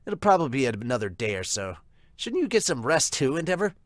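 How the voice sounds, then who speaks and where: A man's voice: medium pitch, big pitch swings, fairly quick, dry recording, clean studio sound, natural voice. A man voicing a laid-back, teasing young male hero with wings. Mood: sly.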